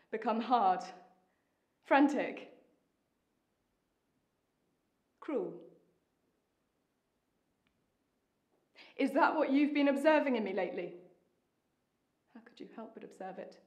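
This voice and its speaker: Woman doing a british wife in a stage monologue, longing and bitter, highish voice, dramatic pauses, really echoey room. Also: a little mellow, close to an everyday voice. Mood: hurt, brittle. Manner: intense, deliberate, with dramatic pauses. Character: Maggie, lonely, bitter, longing, determined young wife. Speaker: acting student.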